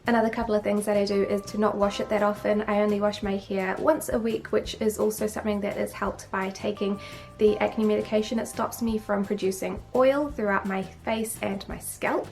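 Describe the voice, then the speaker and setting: A woman's voice: mid-range voice, flat delivery, fairly quick, darkish tone, faint music behind. A young woman from New Zealand with a New Zealand accent, chatty and matter-of-fact, answering viewer questions to camera as a beauty vlogger. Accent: New Zealand, a few English-sounding words. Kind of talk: casual Q&A to camera.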